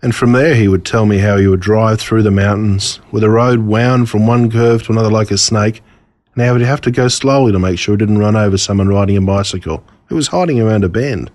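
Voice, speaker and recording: A man with an Australian accent reading a scripted storybook in an expressive storytelling manner. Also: fairly low pitch, a little fast, dry recording. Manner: expressive, storytelling in a child narrator's words. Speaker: author reading his own story.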